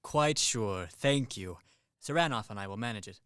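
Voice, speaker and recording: Man as unflappable captain, curt, matter-of-fact, forward bright tone, dry sound, radio drama. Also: fairly low pitch, expressive, normal speed, smooth, no room sound. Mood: dry, businesslike. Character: brisk, businesslike, unflappable male army captain. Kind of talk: radio play acting.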